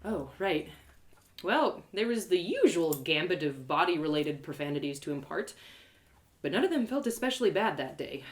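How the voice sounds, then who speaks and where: A woman reading a first-person fantasy story aloud in an expressive, theatrical and lyrical way. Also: fairly low pitch, moderate pace.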